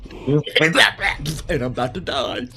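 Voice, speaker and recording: A man's voice: on the high side, very slow, pushed and bright, a little hiss or hum. A man hosting a casual game livestream, rambling in a folksy way and repeating phrases for emphasis. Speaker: live streamer, gaming host.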